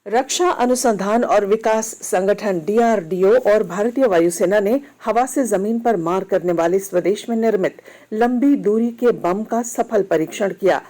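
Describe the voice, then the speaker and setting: A woman reads a scripted regional news bulletin in a measured, formal manner. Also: medium pitch, dark tone. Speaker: news reader.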